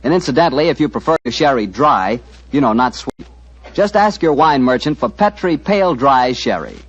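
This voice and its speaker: A male announcer with a husky voice reads a folksy radio commercial, his pitch swinging lively. It sounds like a muffled old radio broadcast. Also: normal speed, faint music behind. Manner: folksy, chatty.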